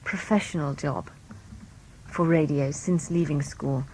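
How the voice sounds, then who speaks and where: A woman's voice: sits low, fairly even intonation, a little slow, dark tone, slight background noise, low-quality recording. A young woman, an actress, answering questions in a casual interview. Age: young adult.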